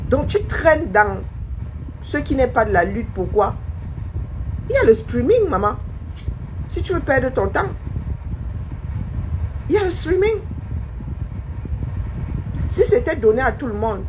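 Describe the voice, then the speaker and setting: A woman's voice: high voice, noisy background. A woman live-streaming a scornful monologue, firing challenging rhetorical questions at a critic who commented.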